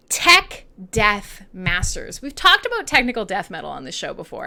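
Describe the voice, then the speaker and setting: A woman with a Canadian accent hosting a casual, irreverent live radio show, talking in an excitable, playful, rambling way with plenty of swearing. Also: mid-range voice. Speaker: radio host and metal DJ. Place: her own home-style studio.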